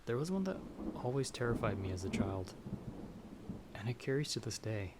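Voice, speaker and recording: A man's voice: mid-range voice, sing-song, moderate pace, very noisy, close to an everyday voice. A man playing a timid, polite young farmer in an ASMR fantasy roleplay, speaking hesitantly and a little formally. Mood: nervous, polite. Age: young adult. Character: timid, polite young male farmer traveler, easily frightened. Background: stormy night ambience.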